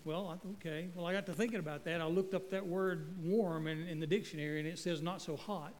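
Middle-aged guy preaching in a church, high voice, jokey and folksy, long echo off the walls. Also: fairly even intonation, a little fast, noisy background. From North Carolina. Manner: folksy, joking, stumbling restarts. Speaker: guest pastor. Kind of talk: sermon. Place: church sanctuary. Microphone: handheld microphone.

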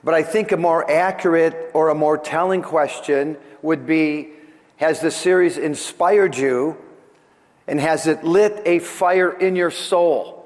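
A man's voice: on the high side, steady tone, slow pace. Male pastor preaching in a reverberant hall, slightly under-the-weather voice. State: voice slightly off after strep throat. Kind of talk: sermon. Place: large church hall during a service.

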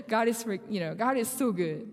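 Slow-speaking woman preacher in a hall. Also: a little high. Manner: laughs often, slow careful reading. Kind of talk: sermon with scripture reading. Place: church sanctuary. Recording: reverberant hall.

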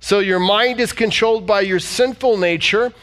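A man's voice: sits high, normal speed, fairly bright tone. A man preaching a sermon as pastor, reading scripture aloud and asking the congregation to repeat words back to him. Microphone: church service streamed online.

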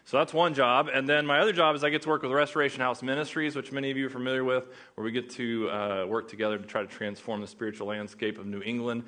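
A man's voice: mid-range voice, steady tone, quick pace, few pauses, bright, forceful tone. A young man from Oklahoma with an Oklahoma accent, preaching as a guest pastor in a casual, joking way that chats with the audience. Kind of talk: sermon.

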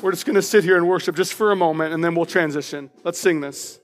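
A man preaching as pastor in a church, with a loud, passionate voice, reading personal declarations aloud with emphasis. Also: on the high side, fast talker, in a large hall, soft background music. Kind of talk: sermon. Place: church sanctuary.

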